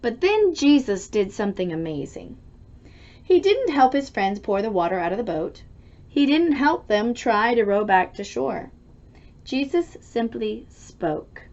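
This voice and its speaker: A woman reading a children's storybook aloud expressively, with dramatic, deliberate emphasis. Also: on the high side, very animated, darkish tone, lo-fi sound.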